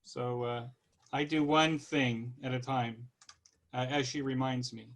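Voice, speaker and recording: American man in his sixties, even-pitched. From California, United States. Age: 60s. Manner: rambling, halting, conversational. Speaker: guest presenter. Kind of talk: informal online club presentation.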